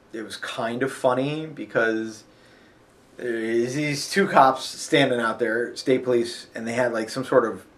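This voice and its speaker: A man's voice: mid-range voice, vocal fry, fairly bright tone. Rambling, sniffly man telling a road story. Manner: rambling, chatty, with fillers. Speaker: vlogger. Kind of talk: casual chat about a road trip. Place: hotel.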